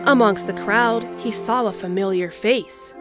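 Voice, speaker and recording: A woman's voice: medium pitch, a little slow. A woman reading scripted story narration as the narrator of an audio drama. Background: background music.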